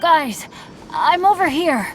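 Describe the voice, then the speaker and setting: A woman's voice: high-pitched, lively intonation, takes it slowly, loud music behind the voice. A woman voicing an upbeat, earnest, unlucky teenage adventurer boy in a video game. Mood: shaken.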